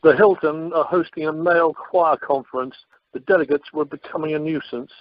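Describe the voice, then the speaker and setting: A man's voice: on the high side, a little fast, no echo, natural voice. A man playing a pompous, grumbling captain in an amateur radio play recorded by telephone. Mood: affronted. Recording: muffled telephone conference line.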